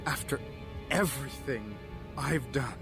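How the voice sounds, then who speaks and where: An actor playing an embittered, obsessive villain in an audio drama, speaking in a grandiloquent, theatrical way. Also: male voice, mid-range voice, a little slow, creaky, music in the background. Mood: bitter, pleading.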